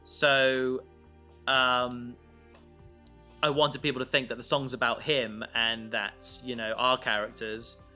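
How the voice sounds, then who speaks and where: Guy chatting on a podcast about making his show, bright voice, kinda halting, light music behind. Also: medium pitch, little pitch movement. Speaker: audio drama creator. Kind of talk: casual behind-the-scenes podcast discussion.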